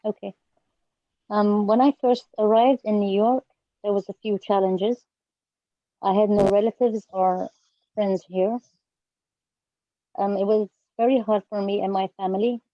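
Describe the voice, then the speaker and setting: A woman's voice: medium pitch, fairly even intonation, a little slow, pauses often, no room sound. Hesitant woman from Yemen, lo-fi. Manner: careful, hesitant, frequent fillers. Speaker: guest student.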